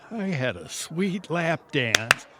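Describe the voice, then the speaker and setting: A man playing a brash, animated city clerk. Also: fairly high pitch, extremely slow, breathy edge, heavily acted. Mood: brash, leering. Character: brash, leering, bribable city hall clerk. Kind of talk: comedic audio drama acting.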